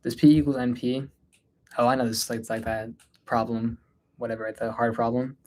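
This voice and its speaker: A man's voice: medium pitch, level tone, brisk, a little mellow. A man from North India chatting casually as a livestream host, tired and informal, hesitating and trailing off as he answers questions. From North India, Delhi area. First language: Hindi, mixed with English. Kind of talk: casual unscripted Q&A livestream chat.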